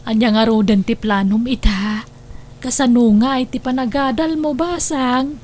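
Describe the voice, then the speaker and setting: A woman's voice: on the high side, steady tone, normal speed, a little mellow, slight background noise, lightly acted. A woman playing a practical, accepting mother in an audio drama, with an Ilocano accent and a motherly, concerned manner. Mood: welcoming, caring.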